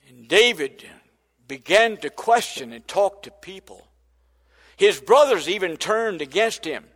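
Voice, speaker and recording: A man's voice: sits high, big pitch swings, unhurried, fairly bright tone, in a large hall. An older man, preaching a sermon in folksy storytelling style that builds to emphatic preaching. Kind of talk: sermon testimony.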